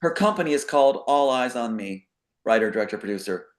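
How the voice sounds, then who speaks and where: A man's voice: medium pitch, animated, fast talker. A man speaking as a talk show guest in a casual, rambling monologue, emphatic and given to acting out quotes as he explains his theories.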